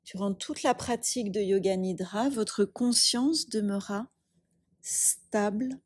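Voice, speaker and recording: A woman leads a guided meditation at a very slow, unhurried pace. Her voice is soft and breathy, with calm instructions given in short phrases and long pauses between them. The sound is dry, with very little room around it. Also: mid-range voice, dry recording. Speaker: yoga nidra teacher.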